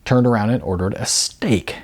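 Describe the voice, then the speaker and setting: A man reading his own novel aloud as an author, in expressive, conversational audiobook narration. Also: fairly low pitch, normal speed.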